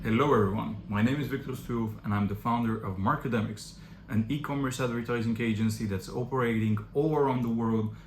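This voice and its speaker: A man's voice: mid-range voice, fairly even intonation, a little fast. Bulgarian man giving business advice. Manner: direct, advisory, frequent "uh" fillers. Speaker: agency founder presenting. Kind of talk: informal explainer to camera. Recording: echoey room with faint background noise.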